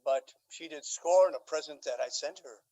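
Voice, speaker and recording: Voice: mid-range voice, normal speed, warm tone, close to an everyday voice, rough recording. An actor playing an aging, scheming Duke in a Shakespearean radio drama, with a theatrical, courtly and emphatic delivery. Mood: feigned coyness. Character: aging, scheming Duke, aggrieved father feigning lovesickness.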